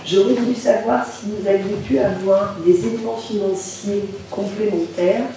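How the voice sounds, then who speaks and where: A woman council member with a bad cold, asking questions in a careful, apologetic, halting way. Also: mid-range voice, fairly even intonation, warm tone. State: has a bad cold, hard to speak loud. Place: large municipal function hall. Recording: echoey hall, mic far off, faint noise.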